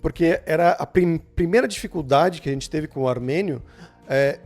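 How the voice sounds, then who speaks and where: A man's voice: on the high side, moderate pace, a little mellow, soft background music. A young man with a Brazilian Portuguese accent, hosting a casual chat, leading it by recapping and asking his co-host questions. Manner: leads the talk, asks questions and recaps. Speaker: channel host.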